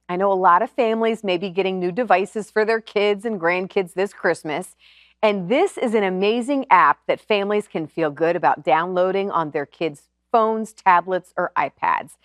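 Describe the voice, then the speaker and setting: A woman's voice: medium pitch, no room sound, studio quality. A woman hosting a TV show, presenting and interviewing in a conversational broadcast style. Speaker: TV show host.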